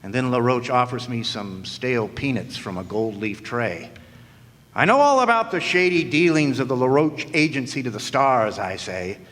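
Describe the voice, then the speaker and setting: Male actor as a dry, sardonic noir private eye, mid-pitched, live in a reverberant hall. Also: animated, normal speed, a bit bright, lightly acted. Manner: dry, sardonic first-person narration. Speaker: narrating private detective. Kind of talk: 1940s-style noir radio acting. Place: live stage performance in a hall.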